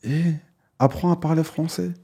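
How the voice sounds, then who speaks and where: A young man whose first language is not French, talking casually as a podcast guest, animated and laughing often. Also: a little high, moderate pace, gravelly, breathy edge. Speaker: guest, content creator.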